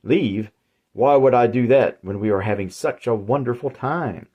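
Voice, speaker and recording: A man's voice: medium pitch, normal speed, highs cut off, close to an everyday voice. A man voicing a cruel old male cannibal killer who talks in a sly, gloating, menacing way. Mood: mocking.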